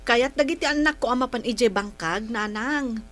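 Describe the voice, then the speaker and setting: A woman's voice: on the high side, normal speed, fairly bright tone, natural voice. An actress playing an eager, dutiful grown daughter and mother with an Ilocano accent in a radio drama. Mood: cheerful. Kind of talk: Philippine radio drama acting.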